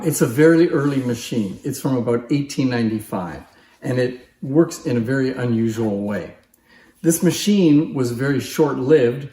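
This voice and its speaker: A man's voice: medium pitch, mellow. Man explaining antiques, echoey room. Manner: plain-spoken, informal, explanatory. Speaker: antique phonograph collector and presenter. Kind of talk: unscripted explanatory demonstration to camera. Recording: echoey, roomy sound.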